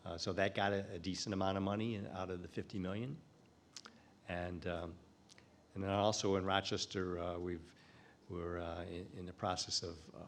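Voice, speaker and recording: Halting deep-voiced man presenting. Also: a little hiss or hum. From United States. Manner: halting, many fillers and restarts. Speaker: conference presenter. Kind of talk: live presentation talk. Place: conference room.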